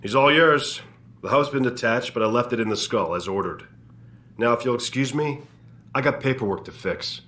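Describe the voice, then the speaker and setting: An actor playing an irritable, talkative medical examiner in a video game, grumbling in a brusque way. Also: male voice, medium pitch, normal speed, a bit bright, close to an everyday voice.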